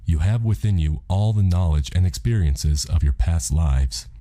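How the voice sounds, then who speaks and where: Man playing a very deep, deadpan, reassuring God. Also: normal speed, no echo. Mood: calm. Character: matter-of-fact male God, calm, casual and reassuring. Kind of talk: audiobook character dialogue.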